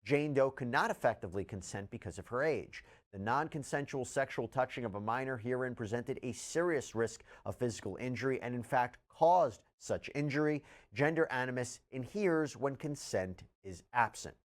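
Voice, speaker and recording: A man's voice: medium pitch, moderate pace, hardly any pauses. A man hosting a legal news show, explaining and reading out documents in an articulate, emphatic, formal manner. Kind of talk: scripted news narration and legal commentary.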